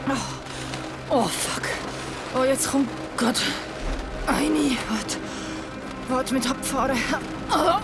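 A frightened woman in labour in a naturalistic radio play. Her high voice gasps and moans between broken-off words. The sound is roomy, with loud music underneath. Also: slow, gravelly, pauses often, heavily acted. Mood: labour pain. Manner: gasping, moaning, breaking off words. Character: frightened woman in painful labour, anxious and swearing. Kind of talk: naturalistic radio drama acting.

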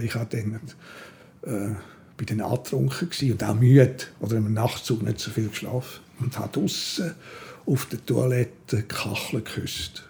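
An older man with a Swiss German accent, telling anecdotes in a casual, animated way, repeating himself as he goes. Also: mid-range voice, creaky, a little hiss or hum. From Switzerland. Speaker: interview guest. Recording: music behind the voice.

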